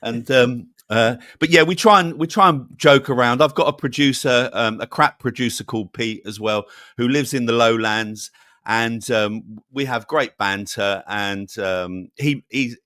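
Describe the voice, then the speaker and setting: A man's voice: mid-range voice, a little slow, sharp tone, no echo. Male guest on a video call; rambling, fillers, playful, dry close sound. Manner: rambling, many fillers and restarts; jokey. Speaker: channel host, guest. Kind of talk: casual interview chat.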